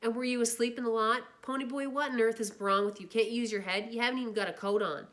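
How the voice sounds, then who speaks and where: A woman reading a novel aloud, voicing a stern, angry young man who scolds and shouts at his younger brother. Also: fairly high pitch, fairly even intonation, fast, voice pushed up, lightly acted. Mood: shouting.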